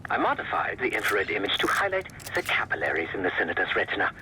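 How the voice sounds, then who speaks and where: A man's voice: lowish voice, very animated, quick pace, pushed and bright, exaggerated voice. A meticulous gadget expert in a video game. His intonation moves freely while his wording stays precise and technical. He comes through on a noisy radio link. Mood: matter-of-fact. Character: precise, nerdy male tech specialist and gadget maker. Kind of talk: video game cutscene acting. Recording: noisy radio comms, from afar.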